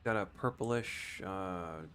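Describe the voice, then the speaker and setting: Low-voiced man giving casual game commentary. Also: slow pace, a bit bright. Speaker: video game streamer. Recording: faint background noise.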